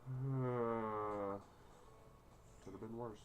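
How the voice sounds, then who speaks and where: A woman with an extremely low voice heckles a visual novel in casual chat. Her manner is crude and mocking, and some noise sits behind the voice. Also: steady tone, drawn-out words. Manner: crude, mocking, heavy swearing. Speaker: co-commentator on a visual novel playthrough. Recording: noticeable background noise.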